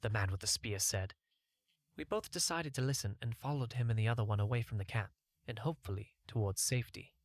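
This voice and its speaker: A male audiobook narrator reads scripted first-person fiction. His voice sits at a middle pitch, and the recording is dry and close. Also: fairly quick, dry recording.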